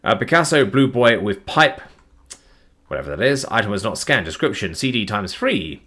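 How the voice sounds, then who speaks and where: A tired man, reading long file listings aloud on a live stream in a flat, plodding way, his voice starting to give out. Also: lowish voice, normal speed, breathy edge. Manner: flat, plodding reading of numbers with weary asides. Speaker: document narrator.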